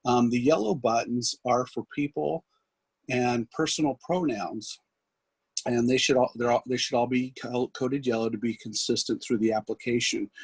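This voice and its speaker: A man giving an instructional software demonstration as a trainer, explaining in a halting way. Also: medium pitch, breathy edge, no room sound, poor audio. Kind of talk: instructional screen demonstration, loosely scripted.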